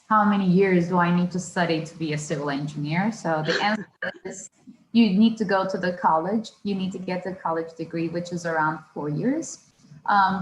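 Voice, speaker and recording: A woman's voice: on the low side, echoey room, a little hiss or hum, poor audio. A woman from Turkey moderating an online panel, asking thoughtful open questions.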